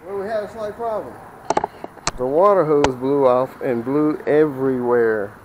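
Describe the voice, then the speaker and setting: A man's voice: mid-range voice, unhurried, muffled recording. A man talking casually and folksily, thinking aloud as he works on a repair outdoors, on a camera mic at changing distance. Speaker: DIY mechanic vlogger. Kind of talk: casual hands-on repair vlog. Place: outdoors on a residential driveway. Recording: noisy outdoor camera audio.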